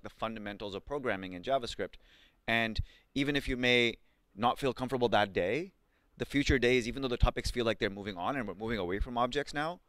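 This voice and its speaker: A man's voice: mid-range voice, fairly bright tone, clean tone, dry recording. A man from Pakistan in his thirties teaching a live coding class, chatty, with lots of ums and questions to the students. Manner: conversational, many ums and likes, asks the class questions. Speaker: coding bootcamp instructor.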